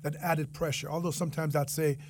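A man's voice: on the high side, level tone, a little fast, very noisy. A man with a Jamaican accent speaking earnestly as a guest in a conversational interview, repeating phrases for emphasis. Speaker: interview guest, restaurant franchisee and entrepreneur.

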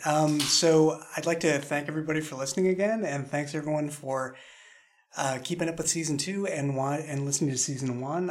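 A man hosting a casual chat, speaking a little hesitantly as he wraps up. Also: medium pitch. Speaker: host, show creator.

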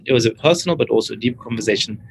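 A man's voice: medium pitch, very fast, gravelly, a little hiss or hum, poor audio. Earnest male podcast host. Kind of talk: podcast interview.

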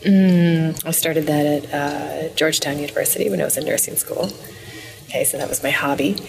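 A woman from the American Midwest, a new mother and nurse, talking about herself in a relaxed, plain way. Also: deep voice, a little fast, vocal fry, recorded from a distance, over music, poor audio. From Wisconsin, US Midwest. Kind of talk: casual interview chat.

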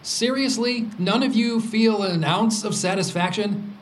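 A man's voice: high-pitched, unhurried. An actor playing a brash, sarcastic, vindictive man in an audio drama support group. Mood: elated, defiant. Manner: sarcastic, provocative.

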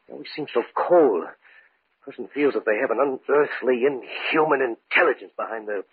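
A man acting as a nervous, fearful scientist in an old-time radio horror drama, with halting, brooding delivery. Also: mid-range voice, slightly rough, no echo. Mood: fearful loathing. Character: nervous male scientist with a dread of spiders. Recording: thin, phone-like vintage radio recording.

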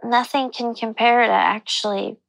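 Heavily creaky hesitant woman, thirty. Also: fairly high pitch, fairly even intonation, a bit bright, no echo, poor audio. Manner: hesitant, halting. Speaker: interviewee.

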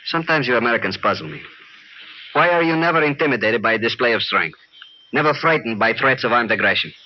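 An actor playing a suave, menacing Latin villain in a 1950s radio drama, formal and mock-polite. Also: male voice, mid-range voice, lively intonation, fast, lightly acted. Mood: boastful threat. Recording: muffled, noisy old radio recording.

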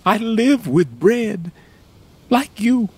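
A proud king brought low by despair. He speaks slowly in a husky, raised voice that rises and falls wildly, from hushed grief to bitter cries. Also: animated, heavily acted. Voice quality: husky, slow, swinging between hushed and cried out. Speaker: actor playing King Richard. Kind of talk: stage audio drama acting.

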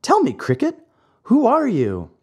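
A woman voicing an impudent, hot-tempered wooden puppet boy with a breathy voice. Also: fairly high pitch, huge pitch swings, a little slow, a little mellow, voice pushed up, exaggerated voice. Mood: rude. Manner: impudent, rude.

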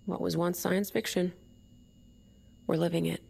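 An actress playing a defiant, cynical leader of an underground separatist movement in an audio drama. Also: female voice, on the low side, level tone, measured pace, gravelly, a little mellow, slightly rough, heavily acted. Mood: earnest, ideological.

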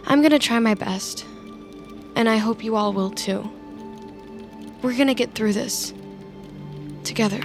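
A woman playing a scheming, sardonic office climber in an audio drama, with dry and deliberate delivery. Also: a little high. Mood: hesitant, earnest. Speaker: protagonist narrating inner thoughts. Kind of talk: audio fiction acting with inner monologue. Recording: music under the voice.

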